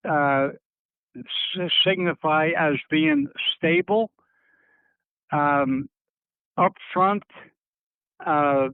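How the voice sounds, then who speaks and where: An older man speaking reflectively in a phone interview, halting and circling back as he searches for words, heard over a narrowband telephone line. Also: a little high, dry recording. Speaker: interviewee recalling family history. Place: on a telephone call. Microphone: telephone handset.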